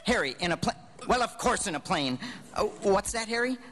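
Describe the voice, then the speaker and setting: An actor playing an earnest, excitable small-town family man with a stammering manner in a live radio drama. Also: sits high, fairly quick, gravelly, a little hiss or hum, heavily acted. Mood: excited, hurried.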